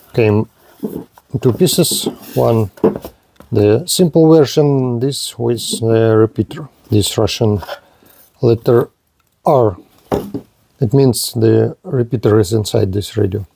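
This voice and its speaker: Slow, low-voiced man demonstrating a radio. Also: dark tone, a little hiss or hum. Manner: slow, halting non-native phrasing. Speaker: radio reviewer. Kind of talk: product demonstration.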